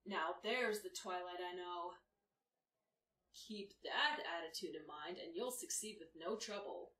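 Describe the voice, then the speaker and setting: A woman's voice: on the low side, unhurried, bright, forceful tone. Woman as a firm unicorn mentor, roomy recording. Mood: reassuring. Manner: firm, confident, encouraging. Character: mildly stern, reassuring female unicorn magic mentor. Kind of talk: audio fan-fiction acting. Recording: roomy, distant mic with faint noise.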